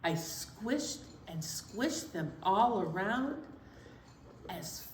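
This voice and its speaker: Slow, animated woman in an echoey room. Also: medium pitch. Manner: playful, simple step-by-step explaining. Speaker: children's library story time host. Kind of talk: children's story time presentation, poem recital. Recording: roomy echo, faint background noise.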